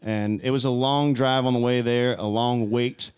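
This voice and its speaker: Smooth-voiced man telling stories at ease. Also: medium pitch, fairly even intonation, moderate pace, a little mellow. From High Springs, Florida, US. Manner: easygoing storyteller with light laughs. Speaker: country musician guest. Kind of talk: casual interview chat.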